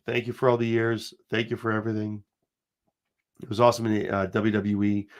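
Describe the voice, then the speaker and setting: A man's voice: fairly low pitch, completely flat delivery, a little fast. A man in his forties with a slight cold, hosting a casual commentary livestream in a rambling, emphatic way, talking straight to viewers. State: has a cold, sniffling. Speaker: show host.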